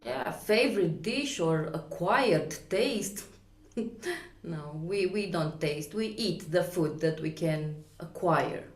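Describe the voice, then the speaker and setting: Slow, low Romanian-accented woman comedian. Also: animated, echoey room. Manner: dry, unhurried delivery with frequent laughs at her own jokes. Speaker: stand-up comedian. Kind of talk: online stand-up set. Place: home, at night. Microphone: video call.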